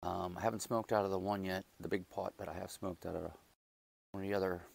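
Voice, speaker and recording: Low, gravelly man chatting in his garden. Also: level tone, fast talker, a little mellow. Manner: rambling, self-deprecating, chatty. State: raspy from a loud night out. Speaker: gardening vlogger. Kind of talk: casual chat while doing garden chores. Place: outdoors in a backyard garden. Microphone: lapel mic.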